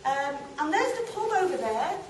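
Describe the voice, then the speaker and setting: A woman's voice: sits high, very animated, normal speed, pitched above the performer's own voice. A woman playing a chatty, nostalgic working-class northern English woman in an amateur stage monologue, asking the listeners questions. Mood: wistful, chatty. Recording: roomy, distant mic, noisy live hall.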